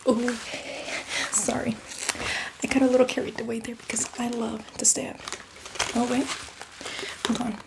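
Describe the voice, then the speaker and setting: A woman's voice: a little high, flat delivery, unhurried, vocal fry, pauses often, heavy background noise. A woman playing a chatty, apologetic, casually bloodthirsty zombie in a whispered ASMR roleplay, rambling and reassuring. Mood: eager, apologetic.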